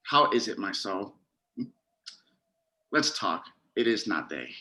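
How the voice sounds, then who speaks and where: Man acting lovestruck boyfriend, slow and bright-voiced. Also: on the low side, very animated, full character voice. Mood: playful, resigned. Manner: dramatic, theatrical delivery. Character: lovestruck teenage boyfriend reluctant to leave his girl. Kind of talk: Shakespearean verse acting in an online staged reading.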